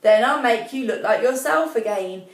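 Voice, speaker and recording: A woman's voice: on the high side, expressive, moderate pace. A woman voicing a caring, earnest young hairdresser in a storybook tale. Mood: reassuring. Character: kind, brisk young woman hairdresser, loyal friend. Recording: echoey room, distant mic.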